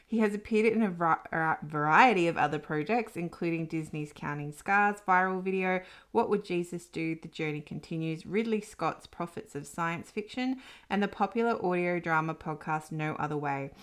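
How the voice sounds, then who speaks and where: A woman hosting an interview podcast, introducing and chatting with her guest. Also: fairly low pitch. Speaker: podcast host.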